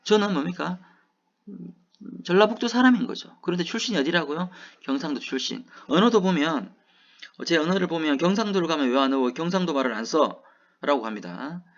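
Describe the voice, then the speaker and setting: A middle-aged man from South Gyeongsang with a Gyeongsang Korean accent, a professor lecturing who keeps asking rhetorical questions and answering them himself. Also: on the high side. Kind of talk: recorded lecture. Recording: dull, lo-fi lecture recording.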